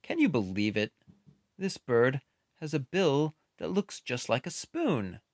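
Male children's book reader, slow pace, animated mid-pitched delivery, close dry sound. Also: dry recording. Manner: expressive, unhurried, with playful asides. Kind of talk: children's book read-aloud with casual asides.

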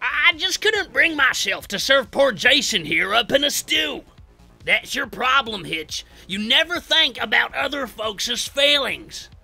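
A man acts out a cannibal cook from a horror comic, thoroughly exasperated. The voice is pushed high and forceful, chiding at volume and dragging out the words, with music behind it. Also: animated, unhurried, creaky, full character voice. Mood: exasperated, scolding.

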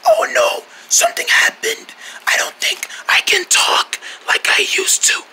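A performer voicing a melodramatic king who has lost his voice, in a weak, hoarse, breathy whisper, as in a children's cartoon skit. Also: very high voice, big pitch swings, unhurried, sharp tone, exaggerated voice. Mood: panicked, weak. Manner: melodramatic, panicky.

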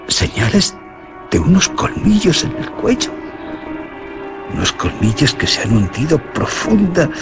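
A man acting a shaken, horrified doctor in a radio horror drama, halting and stammering. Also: fairly high pitch, full character voice. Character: shaken male doctor discovering a dead patient, horrified.

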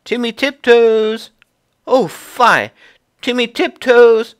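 A man's voice: mid-range voice, big pitch swings, moderate pace, a bit bright, voice pushed up. A man voicing a proper, polite female squirrel wife in a storybook reading, with a genteel, prim, raised voice. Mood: calling out.

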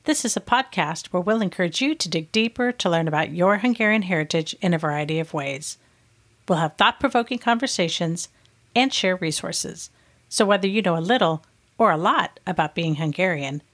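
A middle-aged American woman hosting a podcast, reading a scripted narration in a clear, patient, explanatory way. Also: lowish voice, fairly bright tone. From United States. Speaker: podcast host.

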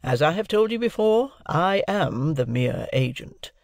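A man performs a domineering, middle-aged lawyer in an audiobook. He is brusque and emphatic, as if questioning a witness. The pitch is high and swings widely in a dry, close recording. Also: big pitch swings, gravelly, dry recording, exaggerated voice. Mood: emphatic, formal. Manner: cross-examining, emphatic, deliberate. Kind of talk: audiobook character dialogue.

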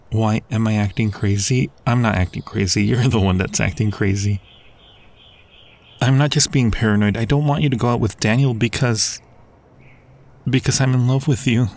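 A man's voice: lowish voice, darkish tone, natural voice. A young man acting a devoted, anxious friend in love in an intimate ASMR roleplay, speaking earnestly and hesitantly. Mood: agitated, defensive.

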